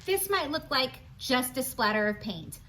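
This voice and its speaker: A woman with a high, very lively voice reads a storybook aloud for young children. Her intonation swings widely as she speaks straight to her listeners, posing questions and offering encouragement in asides. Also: unhurried, a little hiss or hum. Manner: animated, questioning, encouraging, addresses listeners directly. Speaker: children's read-aloud presenter.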